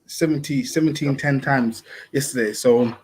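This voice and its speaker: A man's voice: mid-range voice, moderate pace, a little mellow, low-quality recording. Man punctuating every point with "yeah?". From family partly Ghanaian. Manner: talks in rhetorical questions ending in "yeah?". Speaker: co-host of football podcast. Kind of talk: casual football chat on a live stream.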